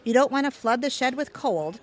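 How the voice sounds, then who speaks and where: A woman playing a confident, friendly, quick-witted best friend in a comedic audio drama. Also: sits high, lively intonation. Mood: enthusiastic.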